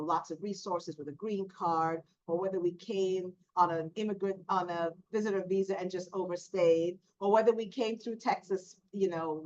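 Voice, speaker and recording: Reflective woman from Jamaica, lo-fi audio. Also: lowish voice. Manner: reflective, measured, with pauses and restarts. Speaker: panelist. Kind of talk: casual panel discussion. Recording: dull lo-fi audio.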